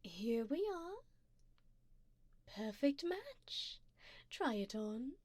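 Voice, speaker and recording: A woman playing a wealthy, doting vampire sugar mommy in an ASMR roleplay, speaking softly in a genteel, seductive way, often slipping into a whisper close to the mic. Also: on the high side, very animated, dry recording, heavily acted. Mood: indulgent, gracious. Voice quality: soft, breathy, often dropping to a whisper. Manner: slow, genteel, formal, seductive. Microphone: close binaural microphone.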